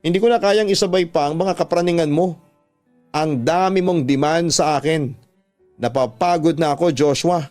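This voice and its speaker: A man's voice: a little high, moderate pace, darkish tone, pitched above the performer's own voice, lightly acted. A man playing a weary, defensive young boyfriend, pleading and emotional, in a radio drama. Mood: weary, fed up. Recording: music under the voice.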